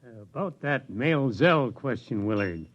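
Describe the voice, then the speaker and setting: An actor playing a weary, disillusioned elderly scientist in a reflective 1950s radio drama style. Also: male voice, medium pitch, lively intonation, lightly acted. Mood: weary, philosophical. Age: older. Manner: reflective, measured speechmaking. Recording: dull vintage radio recording.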